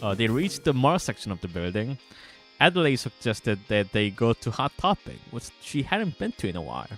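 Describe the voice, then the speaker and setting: A man's voice: medium pitch, wildly swooping pitch, normal speed. A man streaming, reading narration from their own visual novel aloud with casual asides. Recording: music bed behind voice. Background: guitar music.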